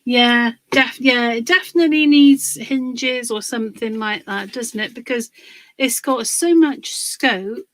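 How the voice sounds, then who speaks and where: A woman, slightly hoarse from a cold, chatting casually on a livestream while she works on a craft project, pausing with fillers. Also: fairly high pitch. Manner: chatty, rambling, pauses with fillers while working.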